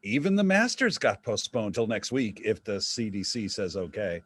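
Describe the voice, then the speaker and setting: A man's voice: fairly low pitch, sing-song, moderate pace, breathy edge. An actor in a staged play reading, playing a wry, theatrical dead father obsessed with golf. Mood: jaunty, sardonic.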